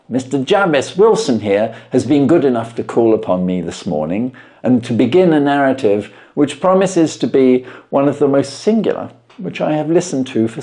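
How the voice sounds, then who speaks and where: A man voicing a self-assured gentleman detective in an audiobook, formal, courteous and emphatically articulate. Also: medium pitch, voice pushed up. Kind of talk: audiobook character reading.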